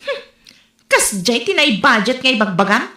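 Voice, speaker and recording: A woman's voice: fairly high pitch, very animated, measured pace, pushed and bright, full character voice. A woman playing a demanding, freeloading elder sister in an Ilocano comedy drama, accusing and stubborn, with an Ilocano accent. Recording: roomy echo, faint music.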